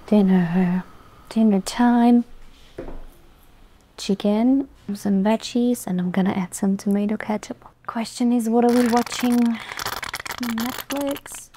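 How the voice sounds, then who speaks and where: A young woman from Austria, chatting casually to the camera as a vlogger, relaxed and talkative. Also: fairly high pitch, slow pace, slight background noise. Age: young adult.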